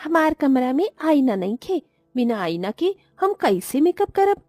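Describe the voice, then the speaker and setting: A woman's voice: high-pitched, sing-song, unhurried, dark tone, dry recording, faint music behind. A woman voicing a humble young village daughter-in-law in a cartoon, deferential and rustic, with a Bhojpuri accent. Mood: meek, pleading. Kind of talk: Hindi cartoon moral-story voice acting.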